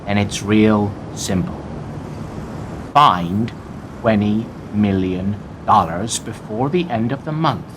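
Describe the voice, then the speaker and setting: A man's voice: fairly low pitch, slow, background noise. A man playing a ruthless corporate bank executive in a radio play, hard, emphatic and condescending. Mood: hard, menacing. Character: blunt, ruthless male corporate bank executive delivering bad news.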